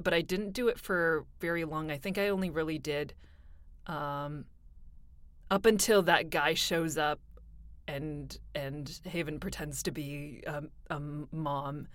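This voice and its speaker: A woman's voice: sits low, no echo. Chatty young woman, laughing often. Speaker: voice actor guest. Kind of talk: casual interview chat.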